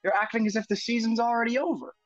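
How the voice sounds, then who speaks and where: A man's voice: very high voice, fairly even intonation, fast, no room sound, soft background music. A young man co-hosting a football podcast, arguing animatedly with lots of stammered restarts. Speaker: podcast co-host. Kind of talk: casual football podcast chat. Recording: dull dry lo-fi sound.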